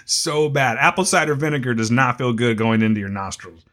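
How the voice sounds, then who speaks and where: A man with a scratchy, slightly hoarse voice, co-hosting a podcast in casual chat, teasing and explaining with mock authority. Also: mid-range voice, a little fast, a bit bright. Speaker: podcast co-host.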